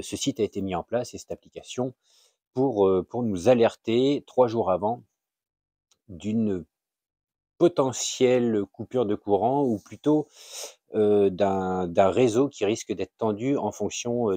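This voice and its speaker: A man giving an informal, unscripted explainer as a video host, halting and often searching for words. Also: on the low side, warm tone, no room sound. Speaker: YouTube channel host sharing a tip.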